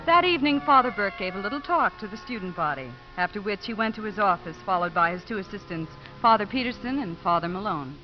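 An actress playing a wistful, warm-hearted young social worker who tells her story in a 1950s radio drama. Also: medium pitch, lively intonation, fast, few pauses, over music, close to an everyday voice. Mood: reflective. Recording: muffled 1950s radio recording.

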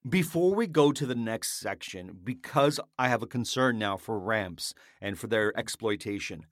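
A man's voice: on the low side, expressive, normal speed, dry recording, clean studio sound. A man co-hosting a casual food chat, rambling happily through stories. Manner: chatty, rambling storyteller. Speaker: podcast co-host.

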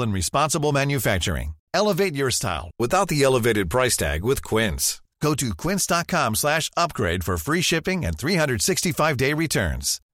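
Male ad reader, animated intonation, mid-pitched, dry close sound, polished promotional delivery. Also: no echo. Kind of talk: scripted podcast advertisement.

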